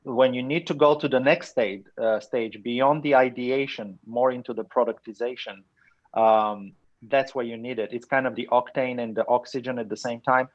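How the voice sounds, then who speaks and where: A man from Bulgaria speaking in a measured, thoughtful way as a panelist in an online webinar. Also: mid-range voice, fairly even intonation. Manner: measured, thoughtful, some restarts. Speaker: webinar panelist asking questions. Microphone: computer mic on video call. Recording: muffled lo-fi webinar audio.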